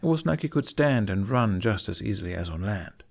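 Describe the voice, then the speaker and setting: A man's voice: on the low side, animated, brisk, creaky. A man narrating a scripted fiction story expressively, as an audiobook reader. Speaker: narrator of a fan fiction podfic.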